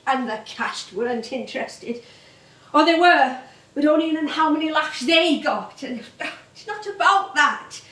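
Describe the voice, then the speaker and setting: An actress playing an older English music-hall comedienne on stage, wistful and chatty, reminiscing in a rambling way. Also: female voice, high voice, animated, measured pace, a bit bright. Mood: wry, resigned. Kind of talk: theatrical stage monologue and dialogue. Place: theatre stage. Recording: echoey stage, distant microphone.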